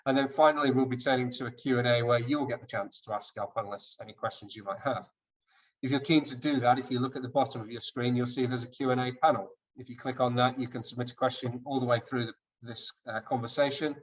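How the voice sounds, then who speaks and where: A man moderating an online webinar, speaking in a measured, polite way with small restarts. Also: mid-range voice, fairly even intonation. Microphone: video call. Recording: dull lo-fi call audio.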